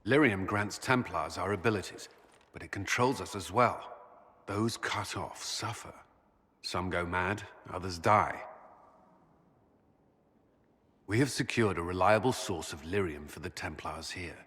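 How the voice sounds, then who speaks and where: A man's voice: low voice, normal speed, fairly bright tone, breathy edge, in a large hall. A man playing a grave, earnest military commander in a video game, speaking seriously. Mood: solemn, hesitant. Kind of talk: video game character dialogue.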